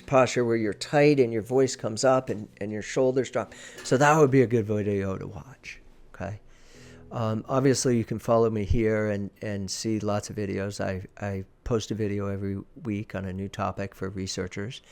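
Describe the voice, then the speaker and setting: A man giving a conversational, emphatic lesson as a presentation coach, shifting his volume for effect and often checking in with the listener. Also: medium pitch. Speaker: presentation coach, video teacher. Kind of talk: conversational teaching talk to camera.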